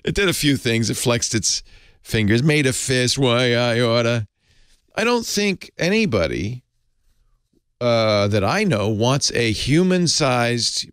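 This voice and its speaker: A man's voice: medium pitch, a bit bright, dry recording, studio quality. An older man hosting a radio show, making wry, joking commentary. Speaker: radio host.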